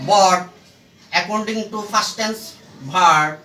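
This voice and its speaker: A man gives an instructional lesson in a high, bright voice. He speaks extremely slowly, setting out short phrases one at a time with emphatic stress. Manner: halting, emphatic, word-by-word phrasing. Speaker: English grammar teacher. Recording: echoey room, distant microphone.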